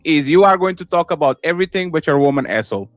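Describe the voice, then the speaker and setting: Animated man with a Portuguese accent. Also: a little high, fast talker, no room sound, soft background music. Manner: animated, swings loud and soft, acts out quotes. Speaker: podcast co-host. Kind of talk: casual opinion talk.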